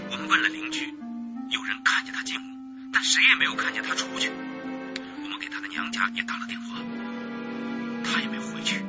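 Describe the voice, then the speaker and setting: An actor playing a worried, urgent junior police detective reporting in a radio drama. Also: male voice, fairly high pitch. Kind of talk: Chinese radio drama acting. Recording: muffled, loud suspense music behind.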